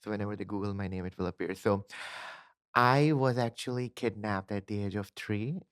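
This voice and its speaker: A man's voice: lowish voice, brisk, smooth, dry recording, clean studio sound. A young man from Pakistan with a Pakistani accent, an Urdu speaker, chatting earnestly as a podcast guest. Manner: earnest, repeats affirmations like "yeah, yeah". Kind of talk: casual interview chat.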